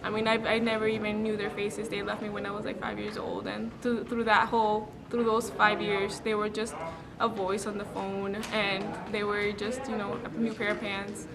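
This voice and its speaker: A young woman in her late teens from the Dominican Republic, answering interview questions earnestly and reflectively, pausing to gather her thoughts. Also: medium pitch, flat delivery, crowd noise. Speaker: student interviewee. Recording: noisy background hiss.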